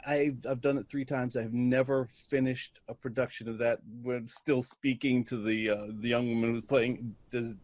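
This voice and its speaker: A middle-aged man talking casually as an actor being interviewed, telling stories and often pausing to search for words. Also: mid-range voice, level tone, a little mellow.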